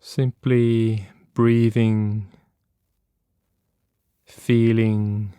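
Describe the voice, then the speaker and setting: Slow, smooth-voiced man guiding meditation. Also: fairly low pitch, flat delivery, no echo. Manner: gentle, unhurried instruction with long pauses. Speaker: meditation teacher. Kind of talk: guided body scan meditation.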